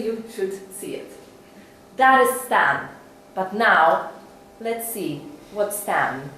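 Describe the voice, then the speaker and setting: A woman giving a prepared introduction of a speaker at a speech club, in a measured way. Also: medium pitch, slight background noise. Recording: echoey room, far from the mic.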